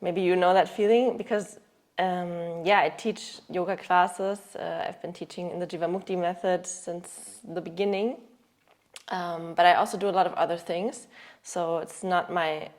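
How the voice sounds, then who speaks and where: A woman in her thirties from Germany, a yoga teacher chatting casually in a podcast interview. She speaks in a slightly low voice and often stops to search for words or restart a phrase. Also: steady tone, moderate pace, a little mellow.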